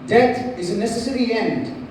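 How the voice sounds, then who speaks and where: Casting: young man for lofty male Roman ruler in stage tragedy, deliberate, heard from a distance with faint noise. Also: sits high, darkish tone, very echoey, lightly acted. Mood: resolute. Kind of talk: school stage play declamation.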